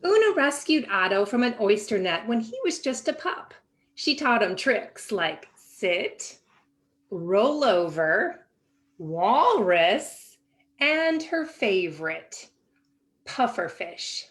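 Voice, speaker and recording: A woman reading a children's picture book aloud in an expressive, animated way with dramatic pauses. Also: medium pitch. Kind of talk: expressive children's storybook narration.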